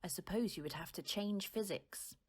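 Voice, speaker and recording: An actress playing a patient, reassuring mother who gently explains things to her child in an audio drama. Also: mid-range voice, normal speed, breathy edge, close to an everyday voice.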